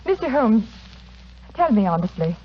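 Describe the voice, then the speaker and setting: An actress playing a brave but anxious, well-bred wife in a 1940s radio drama, formal and earnestly insistent. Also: female voice, a little high, sing-song, normal speed. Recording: dull, noisy 1940s radio recording.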